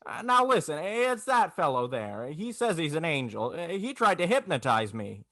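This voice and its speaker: A man playing a desperate family man in an old-time radio drama, pleading in a halting stammer. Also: on the high side, very animated, a bit bright, dry recording, studio quality. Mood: frantic. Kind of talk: 1940s radio drama acting.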